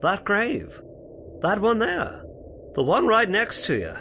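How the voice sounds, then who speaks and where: A man's voice: sits high, huge pitch swings, slow pace, pushed and bright, a little hiss or hum, heavily acted. A man playing a folksy, spooked cemetery caretaker in old-time radio horror style, with rustic turns of phrase. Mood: ominous. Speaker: voice actor.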